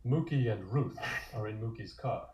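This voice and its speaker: A man's voice: lowish voice, moderate pace, highs cut off. A man narrating scripted scenes in the present tense for a comic table read.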